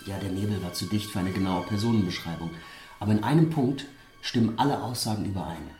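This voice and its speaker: A talkative, self-assured police officer in a radio play, acted by a man. His voice sits fairly low and rings with the sound of the room. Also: fast, roomy sound, music in the background, natural voice. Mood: matter-of-fact. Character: chatty, confident police officer on a murder case. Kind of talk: radio drama acting.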